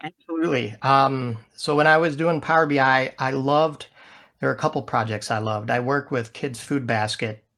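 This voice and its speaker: A man's voice: mid-range voice, fairly even intonation, normal speed, a bit bright. A man who is a guest in a casual interview, telling stories with animation and frequent laughs. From Michigan, USA.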